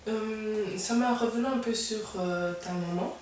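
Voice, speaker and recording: A woman's voice: mid-range voice, unhurried, darkish tone, slight background noise. A woman interviewing a guest, supportive, with frequent short affirmations and follow-up questions. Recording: echoey room, mic at a distance.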